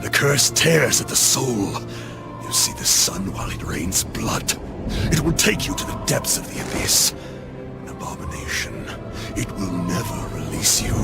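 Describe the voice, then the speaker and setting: An actor playing a deranged cursed warlord in a video game, ranting grandiosely as if many voices share his mouth, with a gravelly voice. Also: medium pitch. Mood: brooding doom. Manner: grandiose, raving, theatrical. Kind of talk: dramatic video game cutscene acting. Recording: dramatic music behind the voice.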